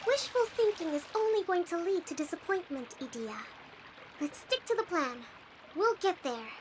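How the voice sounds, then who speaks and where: A woman voicing a gentle, level-headed young female leader in a video game, measured and encouraging. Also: extremely high pitch, expressive, background noise. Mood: reassuring. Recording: clear game ambience behind.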